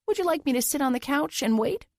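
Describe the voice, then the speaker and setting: A woman's voice: high-pitched, expressive, fast, a little mellow, no echo, pitched above the performer's own voice. Evasive male homeowner, played by a female narrator, courteous thriller audiobook suspect, dry studio sound. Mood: politely obliging. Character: polite, evasive male homeowner under police suspicion. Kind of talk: audiobook character dialogue.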